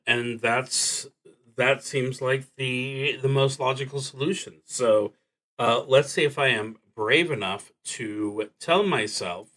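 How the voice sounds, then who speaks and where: A man thinking aloud as he plays a solo tabletop roleplaying game on a stream, talking in a deliberate, halting way with dry asides. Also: medium pitch, pushed and bright, low-quality recording. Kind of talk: live play narration, thinking aloud.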